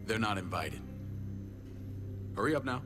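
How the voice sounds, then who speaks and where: An actor playing a stern, middle-aged American survivor-camp authority in a video game, curt, commanding and menacing. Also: male voice, lowish voice, lively intonation, a little slow, over music. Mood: curt, impatient. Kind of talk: dramatic video game voice acting.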